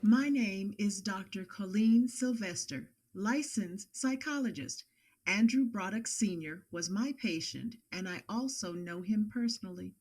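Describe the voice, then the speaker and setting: An actress plays a female psychologist testifying in an audio courtroom drama. She speaks formally and with guarded care, her voice at an average pitch for a woman. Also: measured pace, darkish tone. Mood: grave, composed.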